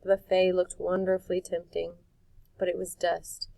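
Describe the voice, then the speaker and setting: Audiobook reader, woman, calm measured narration, lower pitch, dry close sound. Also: gravelly, no room sound. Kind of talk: storybook narration.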